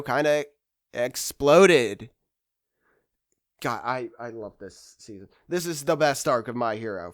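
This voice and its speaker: A man's voice: fairly high pitch, a bit bright. A man hosting a discussion video in a casual, unscripted way, rambling and hesitating as he gathers his thoughts. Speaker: anime discussion video host. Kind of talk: casual unscripted fan commentary.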